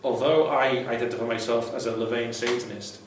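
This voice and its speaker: A man's voice: mid-range voice, fairly even intonation, a little fast. A man giving casual, unscripted advice to viewers in a blunt, irreverent, sweary way. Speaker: vlogger, ex-Jehovah's Witness advice host. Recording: very echoey room, distant mic, faint noise.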